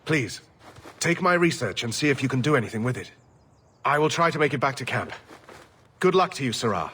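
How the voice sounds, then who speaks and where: Fantasy game scholar: weary, earnest, courteous, bright-edged voice with lively pitch swings. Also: male voice, a little fast, distant mic. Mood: weary, resigned. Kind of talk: scripted fantasy game dialogue.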